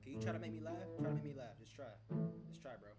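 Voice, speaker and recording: A young man on a webcam chat, loudly teasing and trash-talking strangers. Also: a little high, expressive, racing, over loud music. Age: young adult. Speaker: vlogger. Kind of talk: prank video chat banter. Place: indoor room.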